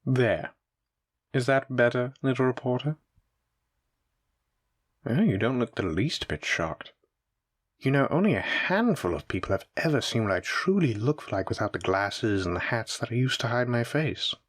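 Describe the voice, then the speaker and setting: A man's voice: medium pitch, lively intonation, normal speed, natural voice. A man playing a menacing, teasing mafia boss villain in a roleplay audio drama, speaking in a taunting, theatrical way straight to the listener.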